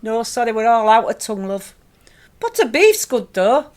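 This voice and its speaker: A woman's voice: fairly high pitch, big pitch swings, normal speed, a little mellow. A woman acting a chatty, nosy Yorkshire shopkeeper in a radio play, gossipy and a bit saucy. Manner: gossipy, colloquial.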